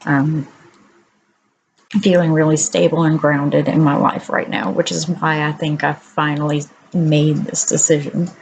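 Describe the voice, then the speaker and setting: Woman, deep natural voice, very slow, candid home video diary, lots of ums, faint background noise. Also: little pitch movement, creaky, poor audio. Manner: candid, unhurried, frequent ums. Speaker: vlogger. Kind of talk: casual personal video diary.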